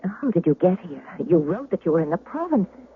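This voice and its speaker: A woman's voice: fairly low pitch, sing-song, brisk, narrow, tinny audio. An actress playing an elegant, proper married lady, guarded and melodramatic, in a radio drama. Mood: guarded, agitated. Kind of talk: 1970s radio drama acting. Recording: thin, hissy vintage radio recording.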